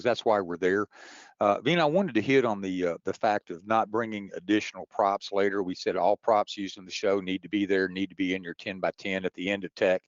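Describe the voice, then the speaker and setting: Deep-voiced man hosting a webinar, roomy sound. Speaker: webinar host and contest official. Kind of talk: informal webinar briefing. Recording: dull, roomy webinar audio.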